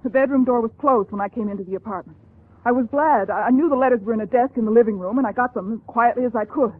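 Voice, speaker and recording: An actress playing a frightened young woman, halting and anxious, in a 1950s radio drama. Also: a little high, no echo, lightly acted. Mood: nervous, hesitant. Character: frightened, hesitant young woman suspected of murder. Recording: dry, tinny, narrow vintage radio broadcast.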